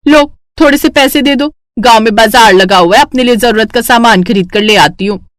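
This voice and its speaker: A woman's voice: on the high side, normal speed, dry recording, clean studio sound, lightly acted. A woman voicing a vain, nagging young village wife in a story cartoon, whining and insistent. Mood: demanding. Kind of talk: animated Hindi moral-story cartoon voice acting.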